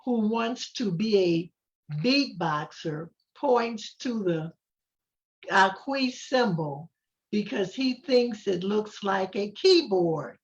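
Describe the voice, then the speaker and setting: An older woman reading a children's picture book aloud in simple, deliberate short phrases. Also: medium pitch, lively intonation, lo-fi sound. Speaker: volunteer storybook reader.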